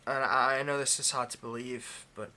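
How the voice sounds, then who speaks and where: A man's voice: medium pitch, flat delivery, moderate pace, bright, forceful tone, a little hiss or hum. A man playing a nervous, obsessive vampire lab technician in an intimate ASMR roleplay, with hesitant, stammering, overly polite delivery. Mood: secretive, earnest.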